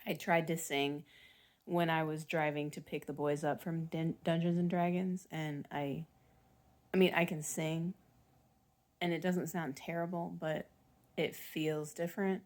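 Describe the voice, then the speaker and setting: A woman in her forties with a raspy voice, chatting in a rambling, casual way as a family vlogger. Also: low-pitched, level tone, a little slow, a little mellow. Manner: chatty, rambling, self-deprecating with small laughs. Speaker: vlogger mom. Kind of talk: rambling casual vlog chat.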